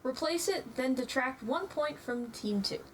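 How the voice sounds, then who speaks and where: A woman's voice: high-pitched, animated, a little slow, slight background noise. A woman voicing a fussy, exacting arena official with a formal, disapproving manner in an amateur dramatic reading. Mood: brisk ruling.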